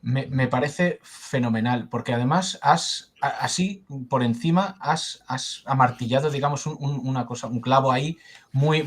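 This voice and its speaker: A man's voice: mid-range voice, husky. Co-host, man, mid-range voice, eager and a little tangled in his phrasing. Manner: enthusiastic, restarts phrases often. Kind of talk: casual discussion.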